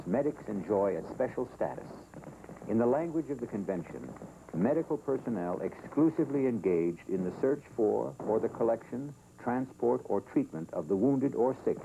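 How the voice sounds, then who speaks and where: An American man reading scripted training film narration in a formal, measured, solemn manner. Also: on the low side, background noise. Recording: 1970s film soundtrack with music. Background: background music.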